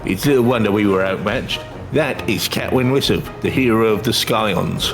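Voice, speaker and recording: A man's voice: lowish voice, moderate pace, over music. A man casually reading game dialogue aloud in character, as a co-player.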